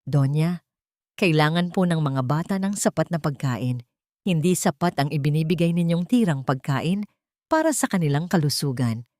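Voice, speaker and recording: A woman's voice: low-pitched, expressive, moderate pace, no echo, clean studio sound. A woman as a meek but brave young mother. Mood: pleading, firm. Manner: respectful, quietly firm.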